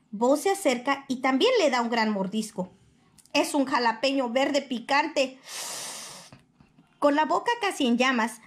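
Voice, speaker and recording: A woman reading a children's picture book aloud in expressive storybook narration. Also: high-pitched.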